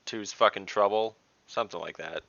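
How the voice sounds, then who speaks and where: Deep, gravelly man gaming online. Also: moderate pace, pushed and bright, no echo. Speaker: tabletop game player. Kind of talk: casual role-play chat over video call. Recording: dull online call audio.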